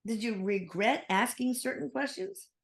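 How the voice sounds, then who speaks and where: A woman's voice: on the high side, expressive, moderate pace, creaky. Chatty Texan woman host. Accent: Texas. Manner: chatty, opinionated, affirming. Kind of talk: casual interview.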